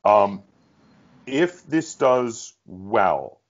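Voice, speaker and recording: Low-voiced male interviewer, slightly muffled. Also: big pitch swings, very slow. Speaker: interviewer, online show host. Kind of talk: casual interview conversation.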